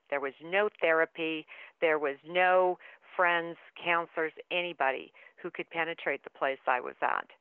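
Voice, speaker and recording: A woman's voice: lowish voice, smooth, no room sound. Woman author on a tinny phone line. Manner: earnest, emphatic storytelling. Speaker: author, interview guest. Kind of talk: interview over the phone. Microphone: telephone.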